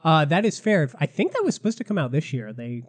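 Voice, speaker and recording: A man hosting a casual gaming podcast, a little sick and raspy, chatty and loose, laughing often. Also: on the high side, very animated, a little fast. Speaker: podcast host.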